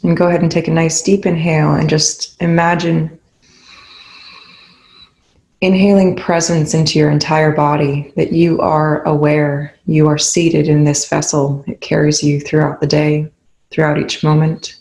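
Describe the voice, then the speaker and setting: A meditation instructor leading a guided session in a soothing, gentle, unhurried way with long pauses. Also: mid-range voice, little pitch movement, reverberant, poor audio.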